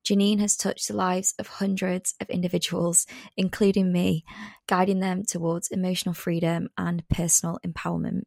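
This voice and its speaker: A woman's voice: lowish voice, level tone, normal speed, creaky, darkish tone, no echo. A woman hosting a podcast, reading a scripted introduction and then chatting in a friendly way with her guest. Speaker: podcast host. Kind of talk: read intro then casual interview.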